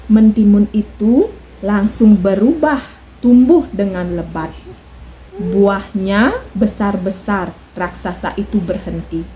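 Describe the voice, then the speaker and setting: A woman telling a children's folk tale in a slow, expressive storytelling manner. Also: medium pitch, a little hiss or hum, low-quality recording.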